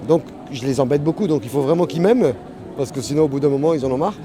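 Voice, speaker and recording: A man's voice: a little high, quick pace, noisy background. A man being interviewed as a painter, talking casually and enthusiastically outdoors at a festival. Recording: music behind, outdoor event.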